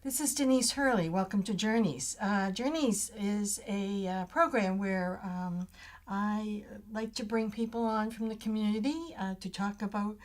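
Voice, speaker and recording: A woman's voice: mid-range voice, a little slow. A woman hosting a community TV interview, speaking hesitantly with many ums and uhs. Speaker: host.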